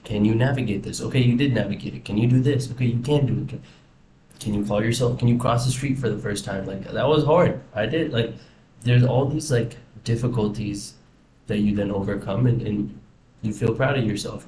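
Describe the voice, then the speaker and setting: A man's voice: fairly low pitch, a little fast. A young man with an American accent talking casually and reflectively in a rambling personal video diary. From United States. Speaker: vlogger. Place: indoors in a house. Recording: roomy echo, faint background noise.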